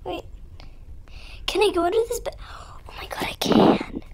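A woman's voice: extremely high pitch, fairly even intonation, measured pace, creaky, darkish tone, whispered. A young woman giving casual, nervous commentary as she plays a horror game, with an informal, jumpy manner. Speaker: gamer, video creator.

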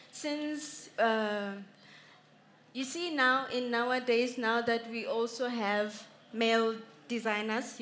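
Young woman, South African accent, slightly high voice, animated, headset mic, exhibition stage. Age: young adult. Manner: animated, gestures while speaking, laughs easily. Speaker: welding inspector guest speaker. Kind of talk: motivational talk to students. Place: large exhibition stage, indoors.

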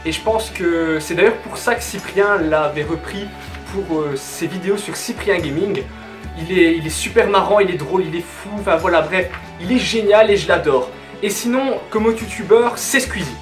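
An eighteen-year-old man with a Belgian French accent from Hainaut, chatting casually and emphatically to camera. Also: sits high. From southern Belgium. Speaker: YouTuber answering viewer questions. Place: small bedroom, indoors. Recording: roomy bedroom sound, music underneath. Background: music.

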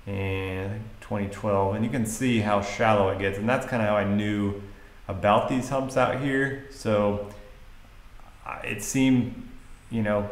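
Low-voiced American man in an echoey room. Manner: hesitant, casual, thinking aloud. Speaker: fishing guide video host. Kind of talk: unscripted explanatory voice-over. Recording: roomy, echoey voice-over.

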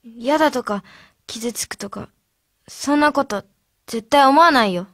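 A woman's voice: high voice, measured pace, no echo, clean studio sound. A woman acting as a tender, sensitive teenage schoolgirl in a radio drama. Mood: regretful. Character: tender, sensitive second-year schoolgirl club member.

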